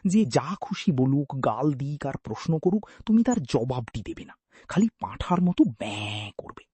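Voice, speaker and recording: Casting: sly courtroom lawyer played by a male storyteller, wheedling and blustering, very animated, slightly husky. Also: medium pitch, normal speed, no echo. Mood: scheming. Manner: theatrical, wheedling, argumentative.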